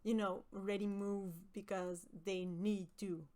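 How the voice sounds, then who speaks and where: A young woman from with a high voice, chatting with her stream viewers and often pausing with fillers. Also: flat delivery, a little mellow. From Quebec. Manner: hesitant, many fillers and restarts. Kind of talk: casual chat with stream viewers.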